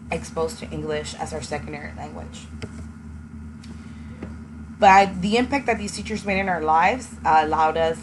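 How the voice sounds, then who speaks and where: A young woman who grew up in Texas, a native Spanish speaker, earnestly telling her own story as an education student and pausing often with ums. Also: low voice. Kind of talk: personal testimony. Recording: noisy with passing vehicle sounds.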